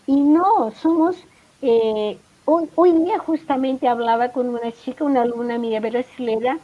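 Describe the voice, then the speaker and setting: Chilean woman, professor guest, high voice, hesitant and thoughtful, dull lo-fi call audio. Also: moderate pace. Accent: Chilean Spanish. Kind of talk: casual conversation.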